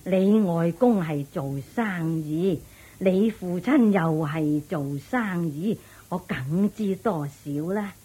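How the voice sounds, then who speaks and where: A woman's voice: lowish voice, slow, dark tone, husky. An actress playing an anxious, practical widowed mother in a radio drama, sighing and scolding, with a Hong Kong Cantonese accent. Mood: practical, firm. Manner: sighing, fretful, scolding at interruptions. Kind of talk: Buddhist radio drama acting.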